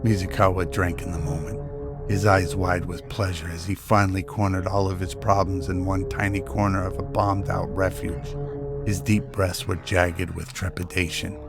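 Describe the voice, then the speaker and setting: A man's voice: sits low, flat delivery, moderate pace, vocal fry, over music. A man reading scripted narration for an action-filled radio drama as its storyteller.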